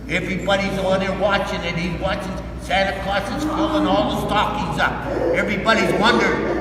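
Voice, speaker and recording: An older man, a volunteer storyteller, reads a Christmas poem aloud to a group in an emphatic, halting way with simple asides. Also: high-pitched. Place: large echoey indoor room, recorded from far off. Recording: echoey hall, distant mic, noisy background.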